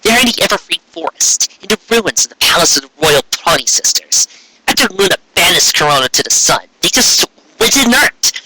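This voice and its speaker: A man's voice: high voice, sing-song, brisk, sharp tone, full character voice, rough recording. A man voicing a young female unicorn magician who explains herself earnestly and defensively in a story. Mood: earnest explaining.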